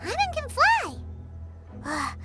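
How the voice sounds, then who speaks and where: A woman voicing a tiny, excitable childlike fairy girl in a video game, exclamatory in manner. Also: very high voice, slow pace, heavily acted. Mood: chirpy, bossy. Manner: refers to herself in third person, exclamatory. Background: background music.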